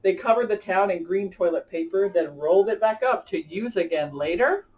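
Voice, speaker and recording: A woman's voice: medium pitch, normal speed, a little mellow. A woman hosting story time for children, speaking enthusiastically and directly to young listeners as she chats and reads aloud. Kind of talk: picture-book read-aloud and chat for children. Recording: distant from the mic.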